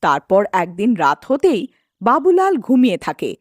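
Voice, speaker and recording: A woman's voice: medium pitch, huge pitch swings, a little slow, darkish tone, dry recording, clean studio sound. A woman telling a scripted ghost story as the narrator of a cartoon.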